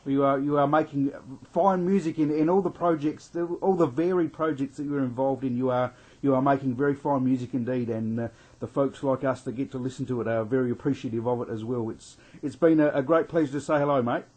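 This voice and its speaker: Husky male radio host. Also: mid-range voice, fairly even intonation, a little mellow, rough recording. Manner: halting, repeats words.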